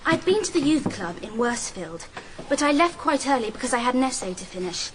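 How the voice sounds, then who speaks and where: A woman's voice: a little high, lightly acted, poor audio. An actress playing a sensible seventeen-year-old schoolgirl witness in a radio drama, composed and matter-of-fact. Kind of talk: radio courtroom drama acting. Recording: noticeable background noise and ambience.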